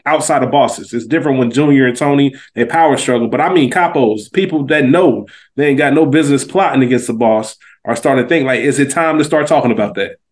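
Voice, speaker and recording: A man's voice: medium pitch, fast talker, few pauses. An American man co-hosting a podcast, analysing a TV show in an emphatic, slangy, explanatory way. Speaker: podcast co-host. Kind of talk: casual analytical TV discussion.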